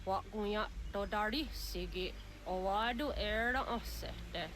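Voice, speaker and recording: A woman's voice: mid-range voice, measured pace, noisy background, close to an everyday voice. An actress playing a solemn woman in a historical adventure video game. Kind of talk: dramatic video game voice acting. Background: music.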